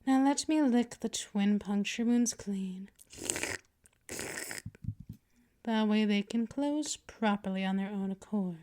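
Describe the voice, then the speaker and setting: A woman's voice: medium pitch, dark tone, lightly acted. A woman playing a dominant, seductive vampire mistress in an ASMR roleplay, coaxing and condescending, speaking intimately to the listener. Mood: savoring. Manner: slow, coaxing, condescending, drifting into whispers. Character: dominant seductive female vampire mistress, patient, teasing, possessive.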